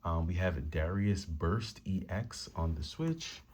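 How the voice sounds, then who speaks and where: Hushed deep-voiced man showing off games. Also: sing-song, takes it slowly, a little hiss or hum. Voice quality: deep rumbling voice. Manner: quiet, hushed talk to avoid waking the family. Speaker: retro game collector vlogger. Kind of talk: casual haul show-and-tell. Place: room at home at night.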